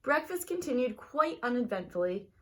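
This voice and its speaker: Higher-pitched woman reading a children's storybook aloud. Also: very animated, normal speed, fairly bright tone. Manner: talks directly to young listeners. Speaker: teacher reading a picture book aloud to children.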